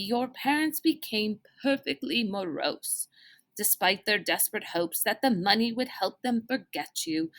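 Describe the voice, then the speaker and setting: A woman storyteller plays an older gentleman mentor from a children's storybook, kindly and formal. The phrasing is deliberate and old-fashioned, with a lively, varied melody. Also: extremely high pitch, heavily acted.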